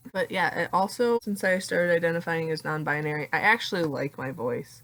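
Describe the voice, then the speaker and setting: A young adult woman with a deeper voice talking casually in a confessional vlog, full of "like" fillers and laughing often. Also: normal speed, a bit bright, low-quality recording. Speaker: vlogger.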